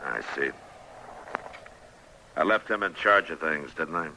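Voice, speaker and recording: Deep, hard-edged American western lawman. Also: expressive, unhurried, pushed and bright, exaggerated voice. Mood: stern lecturing. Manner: terse, commanding, gruff. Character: stern, weary Old West US marshal. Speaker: actor in a radio western. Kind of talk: old-time radio western acting. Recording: noisy old radio drama recording.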